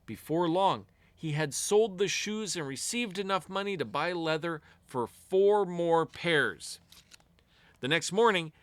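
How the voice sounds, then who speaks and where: Male children's storyteller: animated, swooping intonation, deliberate phrasing, lightly high voice, close dry sound. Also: dry recording. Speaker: storyteller reading a children's book aloud. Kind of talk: expressive read-aloud fairy tale narration for children.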